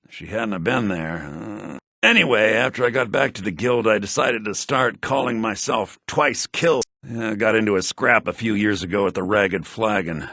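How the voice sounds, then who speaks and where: A male actor playing a brusque, self-assured townsman in a fantasy video game, with a husky voice and a theatrical, deliberate delivery. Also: on the low side, pushed and bright, no echo, studio quality, heavily acted. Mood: cocky, resentful. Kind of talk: fantasy role-playing game character dialogue.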